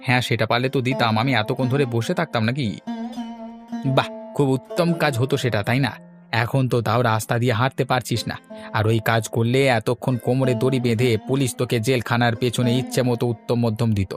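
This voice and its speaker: Man playing a fast-talking village lad. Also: medium pitch, steady tone, over music, close to an everyday voice. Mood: grumbling. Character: scheming, grumbling village lad among mischievous friends. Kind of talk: cartoon story dialogue.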